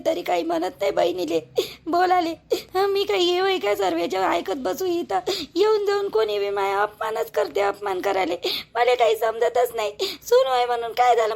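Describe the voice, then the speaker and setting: A woman's voice: high-pitched, gravelly. A woman playing a wronged young wife, crying and reproachful. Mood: hurt protest. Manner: sobbing, reproachful. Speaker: actor in a family drama skit.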